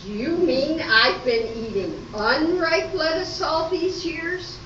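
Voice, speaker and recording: A fussy lady diner, acted by a woman in an amateur radio comedy, with big theatrical delivery. The voice is high and slow, caught from a distance in an echoey, noisy hall. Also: fairly bright tone. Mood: puzzled, complaining. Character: fussy female diner complaining about her food. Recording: roomy hall, distant mic, dull, noisy.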